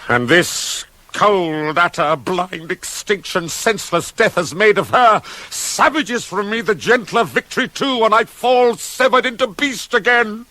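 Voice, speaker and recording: A man's voice: high voice, bright, forceful tone, dry recording, low-quality recording. An actor playing a proud, widowed ancient Greek king in a radio play, declaiming tragic verse with grief and rage. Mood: anguished. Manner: declamatory, slow, heavily dramatic.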